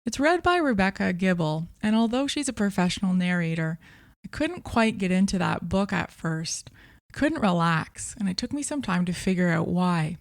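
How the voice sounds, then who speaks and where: A woman presenting a scripted podcast review in a measured, clearly phrased way as the host. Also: on the low side, animated, no echo, studio quality.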